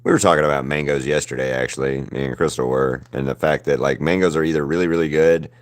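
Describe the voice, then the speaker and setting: A man's voice: rumbling low, fairly even intonation, fast talker. A man in his thirties with a mild Southern US accent, chatting loosely and rambling while he paints live, cursing casually. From Southern United States. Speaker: artist streaming live.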